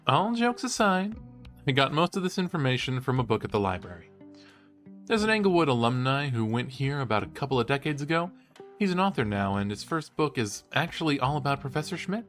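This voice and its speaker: A man's voice: mid-range voice, very animated, fairly quick, fairly bright tone, soft background music. A man playing a cheeky, eager young college true-crime podcaster in an audio drama, with a playful, theatrical delivery. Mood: eager, speculating. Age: young adult. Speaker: podcast co-host. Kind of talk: scripted banter in a horror audio drama.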